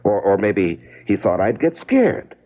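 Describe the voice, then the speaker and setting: An actor playing an uneasy, placating husband with a husky voice and halting delivery in an old-time radio mystery. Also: male voice, on the low side, big pitch swings. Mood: defensive, explaining. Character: evasive, placating husband, an engineer, affectionate but uneasy. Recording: dull vintage radio recording.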